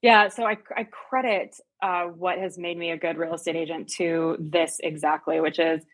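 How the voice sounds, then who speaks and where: A woman's voice: lowish voice, fairly even intonation, moderate pace, fairly bright tone. A woman from the United States and Germany talking casually as an interview guest, chatty and emphatic, repeating words for stress. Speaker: real estate agent, podcast guest.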